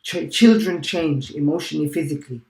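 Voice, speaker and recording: A man's voice: a little high, fairly quick. A British-born man who is a teacher, talking in a casual interview and often checking in with "right?". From United Kingdom. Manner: animated, keeps checking in with "right?". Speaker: teacher, guest. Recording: echoey room.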